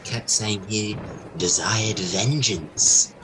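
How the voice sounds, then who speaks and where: A man's voice: fairly low pitch, extremely slow, fairly bright tone, a little hiss or hum, poor audio. An actor playing a chatty local man in an audio drama, informal and stumbling over his words. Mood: hesitant, helpful. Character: hesitant, chatty small-town local man.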